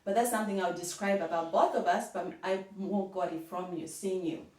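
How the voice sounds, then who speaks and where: A young woman with a Ugandan accent chats quickly and easily, laughing often as she talks. She sounds a little far from the mic, in an echoey room. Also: medium pitch, fairly even intonation, darkish tone. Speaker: co-host. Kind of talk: casual conversation.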